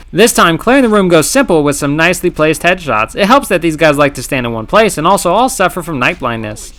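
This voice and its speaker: A man narrating his own gameplay challenge in scripted voice-over, wry and sarcastic with playful dramatic pauses. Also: on the high side, a bit bright. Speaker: gaming channel host. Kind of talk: scripted comedic game commentary narration.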